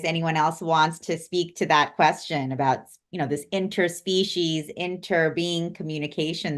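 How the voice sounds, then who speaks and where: A woman with a notably low voice moderates an online panel. She affirms each speaker before reading out audience questions.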